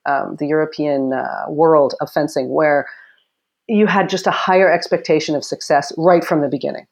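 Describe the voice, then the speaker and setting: A woman in her forties from Canada, a former Olympic fencer with a loud voice, telling stories in a casual interview with quick laughs and acted quotes. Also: low voice, steady tone, gravelly.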